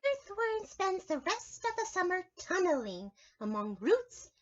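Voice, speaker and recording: A woman teacher reads a picture book to preschoolers in a very high voice. Her pitch swoops widely as she stretches words and talks directly to the children. The sound is slightly dull and lo-fi. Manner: expressive, drawn-out storytelling, addressing children directly. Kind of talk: picture-book read-aloud for young children, with asides and questions.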